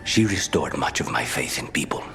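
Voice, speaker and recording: An actor playing a bitter, grieving assassin in a fantasy game, speaking in a breathy, restrained, grim way. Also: male voice, animated, a little fast, music in the background, exaggerated voice. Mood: bitter grief. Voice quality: breathy near-whispered low voice. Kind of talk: dramatic video game acting.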